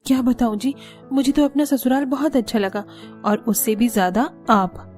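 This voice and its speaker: A woman's voice: fairly high pitch, normal speed, darkish tone, faint music behind, natural voice. A woman voicing a sweet, obedient young newlywed daughter-in-law in a Hindi family cartoon, speaking meekly and politely. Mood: sweet, pleased. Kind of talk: Hindi moral-story cartoon dubbing.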